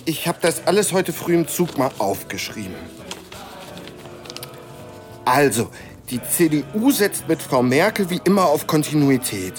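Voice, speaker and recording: An actor playing a campaign adviser who explains things matter-of-factly in a satirical radio play. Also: male voice, fairly high pitch, lively intonation, moderate pace, faint music behind, natural voice.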